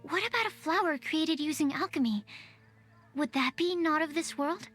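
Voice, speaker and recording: A woman's voice: high-pitched, normal speed, fairly bright tone, soft background music. A woman voicing a shy, earnest young female alchemist in a video game, hesitant and thinking aloud. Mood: musing. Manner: hesitant, musing, overthinking. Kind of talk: game voice acting.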